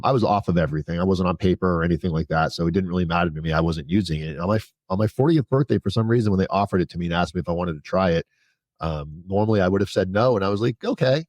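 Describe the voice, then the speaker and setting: A man's voice: low voice, darkish tone, dry recording. A man in his forties speaking as a podcast guest, telling his own story in a frank, conversational way. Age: 40s. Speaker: podcast guest, prison consultant. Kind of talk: candid personal storytelling in an interview.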